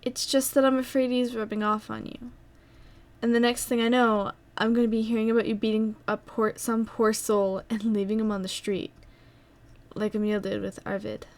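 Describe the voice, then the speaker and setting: A woman's voice: a little high, flat delivery, normal speed, natural voice. A woman playing a worried, wistful young woman in an amateur wartime audio drama.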